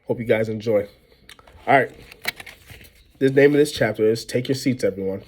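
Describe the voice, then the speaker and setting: A man reading a children's novel aloud in an animated way, as a storyteller acting out the dialogue. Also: fairly low pitch, normal speed, slight background noise. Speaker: reader reading a book aloud.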